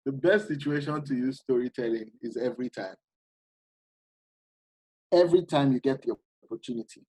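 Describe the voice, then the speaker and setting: A man giving an informal masterclass talk, animated and explanatory, often restarting his sentences. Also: medium pitch, moderate pace, slightly rough, no echo, low-quality recording. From Nigeria. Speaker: masterclass speaker.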